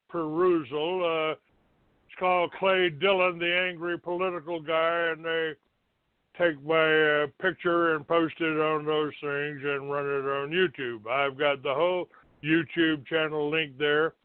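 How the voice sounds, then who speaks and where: A male talk show host speaks slowly in a smooth, clear voice, halting as he grumbles through his monologue and reads out notices bluntly. The sound is thin and narrow like a telephone, with a roomy echo around him. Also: a little high, steady tone. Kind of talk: rambling monologue, reading out a written notice.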